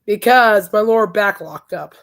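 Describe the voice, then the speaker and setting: Hoarse chatty woman podcast host. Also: medium pitch, normal speed. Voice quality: raspy, worn throat. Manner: chatty, enthusiastic storytelling. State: hoarse, voice shot from illness. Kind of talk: casual rambling chat.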